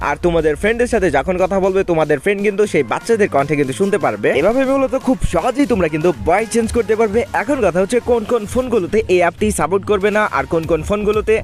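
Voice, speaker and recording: A high-pitched man hosts a gaming tutorial at a rapid-fire pace. He narrates step by step as a voice-over, close to the mic, with faint music playing behind him. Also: expressive. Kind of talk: scripted how-to video narration. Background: faint background music.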